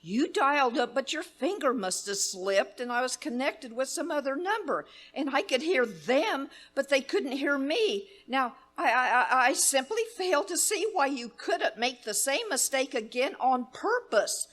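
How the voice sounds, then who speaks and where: Woman playing a shrill, demanding society lady. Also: sing-song, unhurried, hardly any pauses, a bit bright, exaggerated voice. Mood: exasperated. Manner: imperious, fretful and shrill. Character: demanding, frantic wealthy woman caller, middle-aged. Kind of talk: live radio play staged as 1930s radio acting.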